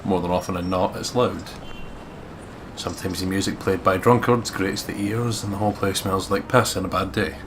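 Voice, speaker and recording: A man's voice: low voice, fairly even intonation, moderate pace, noisy background. A man reading visual novel narration aloud as a let's play co-reader, breaking into casual asides.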